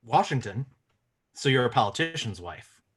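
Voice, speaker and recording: A man's voice: mid-range voice, big pitch swings, normal speed, a bit bright, breathy edge. Man as a probing, pompous psychiatrist. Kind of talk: live table read of a comic film script.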